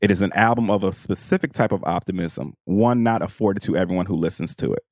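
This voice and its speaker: Deep voiced guy on a podcast going over a book, informal and slangy, dry close sound. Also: quick pace, a little mellow, no room sound. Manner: informal, peppered with slang and "you know". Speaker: podcast co-host. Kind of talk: casual book discussion and reading passages aloud.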